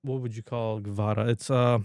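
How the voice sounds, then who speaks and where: A man's voice: medium pitch, fairly even intonation, normal speed, darkish tone, clean tone, dry recording, clean studio sound. Rambling Polish-native podcast man. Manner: rambling, scattered, lots of fillers and restarts. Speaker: podcast co-host. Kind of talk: casual chat.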